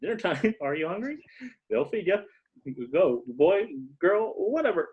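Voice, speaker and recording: A frantic male computer programmer in a jam, acted by a man at a table read, grumbling and muttering to himself in irritation. His voice sits fairly high. The home recording is a little dull. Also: big pitch swings, unhurried, breathy edge, full character voice. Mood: coaxing, uneasy. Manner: muttering, exasperated, talks to himself. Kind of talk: informal script table read.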